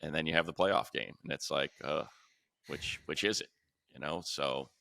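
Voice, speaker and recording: A man's voice: rumbling low, moderate pace, gravelly, bright, forceful tone, no echo. A man hosting a sports podcast, talking through football opinions in a casual, conversational style. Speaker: sports podcast host and radio play-by-play announcer.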